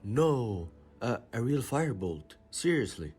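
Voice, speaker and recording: A man acting an earnest, excitable teenage sports captain in an audio drama. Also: mid-range voice, measured pace, soft background music. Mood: incredulous.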